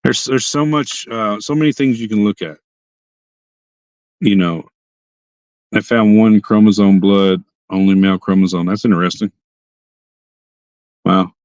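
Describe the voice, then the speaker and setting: Hoarse young man chatting from a car. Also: on the low side, measured pace, pauses often, a little mellow, no room sound, highs cut off. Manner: rambling, restarts often. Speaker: livestream host. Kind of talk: casual livestream chat. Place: sitting in a parked car.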